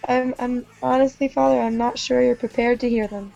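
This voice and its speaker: A woman's voice: fairly high pitch, level tone, brisk, gravelly, warm tone, lo-fi sound, soft background music. A young woman guest host on a comedy podcast, hesitant and laughing things off as she tells stories. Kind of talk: casual chat.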